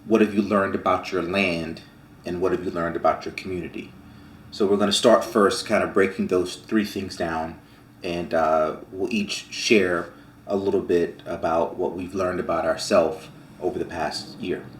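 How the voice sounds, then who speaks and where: Very deep-voiced man, echoey room. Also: steady tone, slight background noise. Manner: reflective, measured, with fillers. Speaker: farm vlog co-host. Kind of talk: casual conversation.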